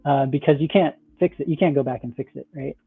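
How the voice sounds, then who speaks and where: A man with a fairly high voice comes through on a thin, phone-like connection. He answers interview questions casually, explaining things in loose, conversational phrases. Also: fast talker, vocal fry, husky, faint music behind. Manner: loose, explanatory, peppered with "like" and "you know". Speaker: startup founder, interview guest. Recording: thin, phone-like call audio.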